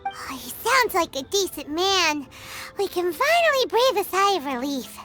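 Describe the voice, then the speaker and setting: A woman voicing a small, childlike female fairy companion, earnest and chirpy, in an animated video game. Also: very high-pitched, unhurried, soft background music, full character voice. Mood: relieved, curious.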